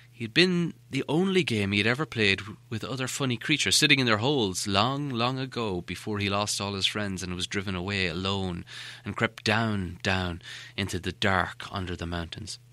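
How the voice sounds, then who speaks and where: Man, expressive story narration, lively intonation, sharp forward tone, close dry sound. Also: on the low side, normal speed, no room sound. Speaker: audiobook narrator.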